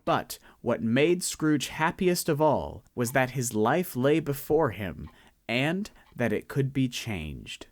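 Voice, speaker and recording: A young man narrating a radio drama in a measured, storytelling style. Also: medium pitch, sing-song, no room sound. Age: young adult.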